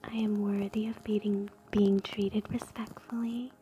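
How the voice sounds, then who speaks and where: A woman whispering slow, gentle affirmations for ASMR at home, close to a sensitive mic. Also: mid-range voice, little pitch movement. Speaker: ASMR host. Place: home, indoors.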